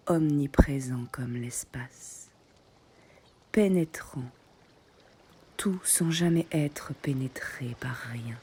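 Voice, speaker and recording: A woman guiding a meditation in soft, breathy short phrases with long pauses, often close to a whisper. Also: very deep voice. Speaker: yoga nidra meditation guide. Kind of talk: guided meditation.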